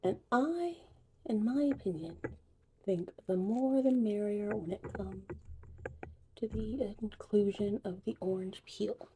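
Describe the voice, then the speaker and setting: A woman hosting a soft-spoken ASMR tutorial roleplay, chatting casually in a hushed voice that drifts in and out of whispers. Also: mid-range voice, takes it slowly, a little hiss or hum. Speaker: ASMR creator and host.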